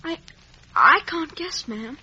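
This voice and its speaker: A woman's voice: high-pitched, expressive, slow, pushed and bright, exaggerated voice. A woman playing a humble, wounded young boy in a 1950s radio drama, meek but stubborn. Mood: meek, guarded. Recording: muffled vintage radio recording.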